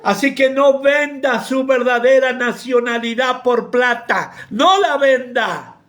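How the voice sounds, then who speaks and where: A pastor with an Argentine accent preaching a sermon in an impassioned, rebuking way that often bursts into shouting. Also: male voice, extremely high pitch, slow pace. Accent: Argentine Spanish.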